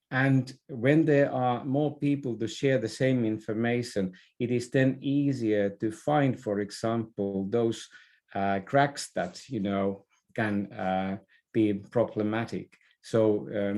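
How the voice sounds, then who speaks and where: Slow-talking, mellow man. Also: on the low side. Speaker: podcast guest, business expert. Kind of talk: conversational interview, explaining ideas.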